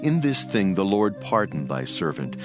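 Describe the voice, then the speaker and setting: Male actor as ancient military commander, proud and earnest, deep declamatory delivery, prominent music underneath. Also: big pitch swings, normal speed. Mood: humbled, earnest. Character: proud Syrian army captain, indignant then humbled and earnest. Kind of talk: dramatized scripture reading. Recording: music behind the voice.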